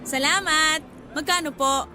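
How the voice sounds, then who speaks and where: A woman's voice: sits high, unhurried, music in the background. An actress playing a playful, forward young flower seller in a Filipino radio drama, coy and giggly as she teases. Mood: playful teasing.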